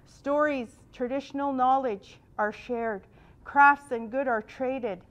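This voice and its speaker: A woman's voice: high voice, steady tone, slow pace, highs cut off. Woman facilitator with a big projecting voice. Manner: loud projecting voice without a microphone. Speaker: workshop facilitator. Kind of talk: guiding a group exercise. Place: large event room.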